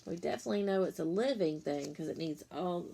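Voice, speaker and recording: A woman reading a picture book to children like a teacher, in simple, deliberate phrases, pausing to explain and ask questions. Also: on the low side, lively intonation, creaky, mellow, slight background noise. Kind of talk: read-aloud storytime with explanatory asides.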